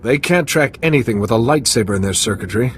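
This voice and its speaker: An actor playing a calm, dutiful Jedi hero in a video game, with a measured, formal and earnest delivery. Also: male voice, medium pitch, a little hiss or hum, lightly acted. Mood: calm resolve.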